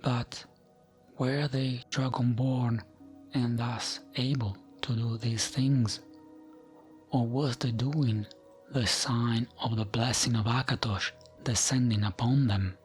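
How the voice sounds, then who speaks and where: A man softly reading fantasy lore as ASMR in a breathy, often whispered voice, with a gentle, soothing manner and frequent pauses. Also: medium pitch, fairly even intonation, faint music behind. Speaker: ASMR gaming channel host.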